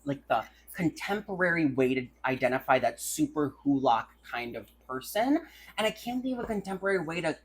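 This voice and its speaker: A woman's voice: sits low, lively intonation, brisk, breathy edge, low-quality recording. A young woman co-hosting a casual book review chat, blunt and sweary, speaking with emphatic enthusiasm. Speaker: podcast co-host.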